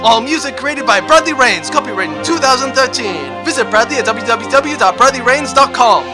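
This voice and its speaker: A man's voice: very high voice, lively intonation, a little fast, over music, voice pushed up. A man playing a grandiose old-time adventure serial announcer, reading a script theatrically. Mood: grand, theatrical.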